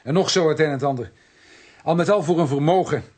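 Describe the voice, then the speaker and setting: An actor playing a thorough, wordy police detective reporting in a matter-of-fact, drily emphatic way in a radio crime drama, slightly husky. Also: male voice, fairly high pitch, fast, a little mellow. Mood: dry, emphatic.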